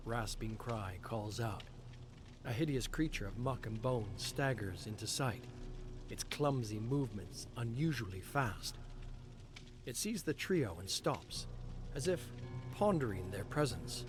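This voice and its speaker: A man streaming, reading game lore aloud in a slow, deliberate storytelling delivery. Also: lowish voice. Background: clear background music.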